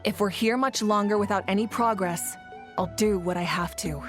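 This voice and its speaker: A woman's voice: mid-range voice, normal speed, over music, close to an everyday voice. A woman playing a composed, authoritative leader in a video game, speaking with poise and decision. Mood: resolute.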